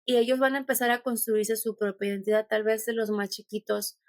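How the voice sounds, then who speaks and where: A woman's voice: a little high, fairly even intonation, a little fast, dry recording, studio quality. A Mexican woman with a Mexican accent, a podcast guest talking thoughtfully and meandering, with many restarts. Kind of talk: conversational podcast interview.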